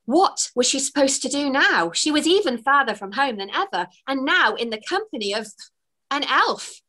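Expressive woman reading a storybook. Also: high voice, moderate pace. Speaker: author reading her book. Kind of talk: children's read-aloud narration. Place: home room with bookshelves, indoors.

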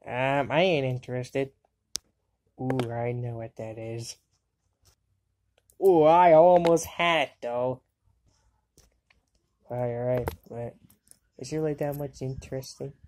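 A woman voicing an excitable, sarcastic anime girl in an amateur cartoon fandub. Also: extremely low pitch, slow, pauses often, a little hiss or hum. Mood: casual, sarcastic.

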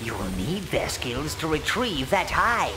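A man playing an old, fawning and gleeful goblin advisor in a video game, theatrical and servile, with a gravelly voice. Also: on the high side, very animated, unhurried. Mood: advising, wry. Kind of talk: video game character narration. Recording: loud music behind.

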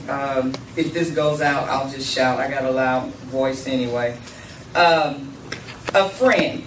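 An older woman speaks slowly and deliberately into a handheld mic in a church sanctuary. Her voice sits a little high. The sound is roomy and picked up from a distance. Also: a little hiss or hum. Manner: measured, deliberate, with congregation responses. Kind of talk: spoken address at a church service. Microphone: handheld microphone. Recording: echoey room, camera far off.

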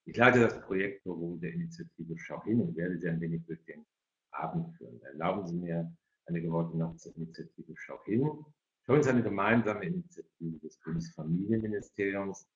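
Low-pitched man hosting, echoey room. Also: fairly quick. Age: middle-aged. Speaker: host and moderator. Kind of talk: welcoming and moderating an online parents' evening. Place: indoor office on a video call. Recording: echoey room, dull video-call sound.

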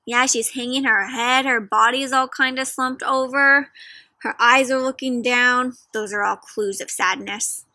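A young adult woman hosting an interactive picture book read-aloud, questioning young children directly in an animated, teacherly way. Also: on the high side, a little slow, dry recording. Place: outdoors in a leafy garden.

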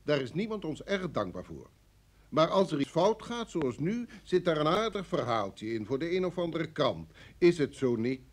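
An actor playing a senior security chief in an old radio drama, brusque and formal, with a husky voice and an authoritative manner. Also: male voice, fairly high pitch, very animated. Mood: firm, persuasive. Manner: authoritative, formal, measured phrasing. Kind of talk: vintage Dutch radio drama acting.

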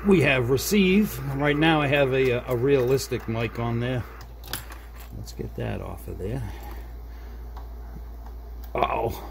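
A man's voice: mid-range voice, takes it slowly, creaky, pauses often. A middle-aged man giving a casual, unscripted repair demonstration, thinking aloud to viewers as he works at a desk indoors. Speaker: hobbyist repair video maker. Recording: background noise, room ambience.

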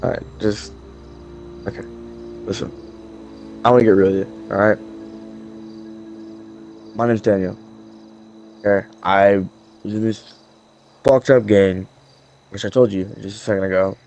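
A man's voice: fairly low pitch, fairly even intonation, measured pace, creaky, pauses often, darkish tone. A man playing a jittery, foul-mouthed drug dealer in hiding in an improvised game roleplay, with a hesitant, rambling delivery. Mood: pushy. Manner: hesitant, rambling, profane slacker talk.

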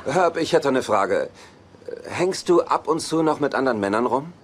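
A man's voice: mid-range voice, animated, moderate pace, a little hiss or hum, close to an everyday voice. A man playing a cynical, self-assured bachelor in a dubbed sitcom, with a dry, sarcastic delivery. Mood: laid-back, wry.

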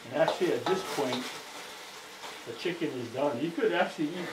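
A man shows a stir-fry at his home stove and talks each step through in a loose, easygoing way. His voice comes through a roomy kitchen, caught by a camera a few feet off. Loud sizzling from the pan runs under everything he says. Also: on the high side, a little fast, heavy background noise. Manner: easygoing, explains step by step with fillers. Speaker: home cook demonstrating. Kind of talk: casual cooking demonstration. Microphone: camera held by someone else a few feet away.

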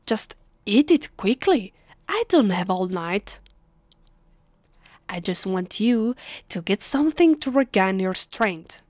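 A woman with an Italian accent playing a haughty, teasing ancient vampire mistress in a soft, half-whispered ASMR roleplay, condescending and commanding. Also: mid-range voice, lively intonation, slow pace, long pauses, dry recording. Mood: impatient, commanding. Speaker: voice actress. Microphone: close, dry microphone.

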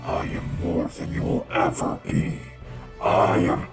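A man voicing a huge, arrogant robotic dragon villain in a comic dub, with a gravelly, guttural voice. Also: low-pitched, level tone, slow. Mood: contemptuous, boastful. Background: loud music.

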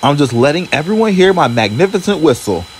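A man's voice: a little high, brisk, a little hiss or hum, pitched above the performer's own voice, rough recording. A man voicing a vain, boastful young steam engine. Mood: proud, boastful. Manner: vain, huffy, excitable.

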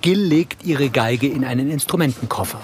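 A man's voice: medium pitch, normal speed. A man reading scripted narration, describing scenes for the audio version of a TV drama.

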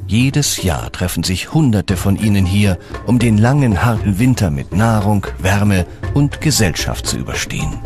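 A man's voice: lowish voice, lively intonation, moderate pace, hardly any pauses, over music. A man reading scripted documentary narration in as the narrator.

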